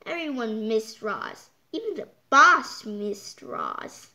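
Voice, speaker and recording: A child reading a picture book aloud, halting and stumbling over words, with exclaimed side comments. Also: mid-range voice, huge pitch swings, bright, forceful tone. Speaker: storytime reader. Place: indoors, hands holding book before the camera.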